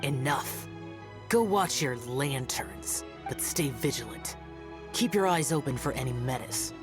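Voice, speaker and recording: Video game role: aloof, battle-weary immortal guardian, male played by a woman. Very low, slow and solemn delivery, music behind. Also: animated. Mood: solemn, protective. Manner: solemn, curt, formal and measured.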